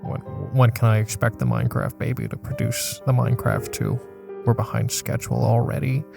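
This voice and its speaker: A man playing an exasperated, bumbling president in an improvised comedy audio drama, talking in a halting, conversational way. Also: mid-range voice, very animated, gravelly, music in the background. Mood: exasperated, flustered. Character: exasperated male president, bumbling and easily embarrassed.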